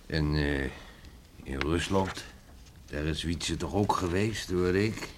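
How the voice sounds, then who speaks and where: Slow-spoken, gruff village father. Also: low-pitched, noisy background. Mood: reminiscing. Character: middle-aged village father, gruff, protective, indignant. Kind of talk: Dutch radio drama acting.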